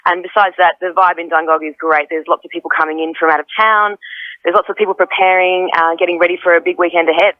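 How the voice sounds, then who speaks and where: A woman's voice: on the low side, flat delivery, quick pace, no room sound. A woman giving a promotional interview comment as an event director. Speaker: festival artistic director. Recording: tinny narrow phone line.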